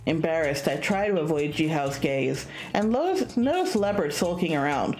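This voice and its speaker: A woman streamer reading a visual novel aloud in a casual, expressive narrating style. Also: low voice, normal speed, low-quality recording.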